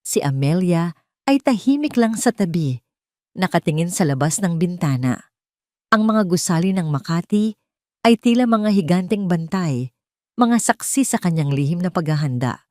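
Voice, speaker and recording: A woman reading scripted story narration with a measured, dramatic delivery. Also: fairly low pitch, animated, warm tone, dry recording, clean studio sound.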